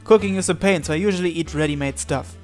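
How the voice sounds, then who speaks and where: A man with a somewhat high voice reads a visual novel aloud for a gaming video. He performs the dialogue and narration and drops in his own remarks. Soft game music plays behind him. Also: fast. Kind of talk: dramatic read-aloud with asides.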